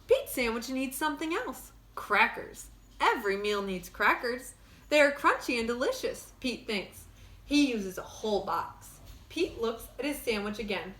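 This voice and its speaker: A woman reading a children's storybook aloud like a teacher, in simple, expressive phrasing for young listeners. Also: fairly high pitch, moderate pace. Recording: echoey room.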